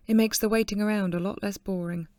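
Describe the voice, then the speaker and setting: A woman's voice: medium pitch, steady tone, quick pace, darkish tone, close to an everyday voice. A woman playing a self-deprecating photojournalist, narrating her life in a wry, confessional audio drama monologue. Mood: wry, resigned.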